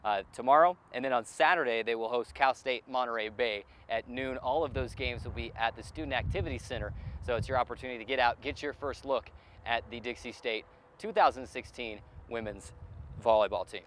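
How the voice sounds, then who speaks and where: A man's voice: medium pitch, brisk, fairly bright tone, slight background noise, rough recording. A man hosting a sports show in a conversational broadcast style, outdoors at a stadium.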